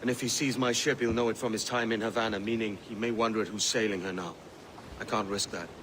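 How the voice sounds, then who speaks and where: An actor playing a brash young pirate captain in a video game, with a sardonic, theatrical delivery. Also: male voice, on the low side, fairly even intonation, fast, noisy background, lightly acted. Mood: serious. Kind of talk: dramatic video game acting.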